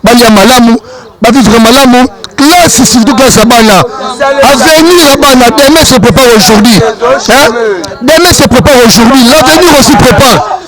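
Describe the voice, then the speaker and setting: A man with a very high voice gives earnest advice in a street interview. He exhorts his listeners, pressing his points. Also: moderate pace, a loud crowd, low-quality recording. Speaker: person in the street.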